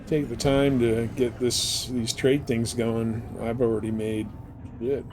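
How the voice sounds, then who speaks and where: A man's voice: mid-range voice, little pitch movement, takes it slowly, background noise. A man giving casual, rambling gameplay commentary as a live streamer, explaining things as he goes.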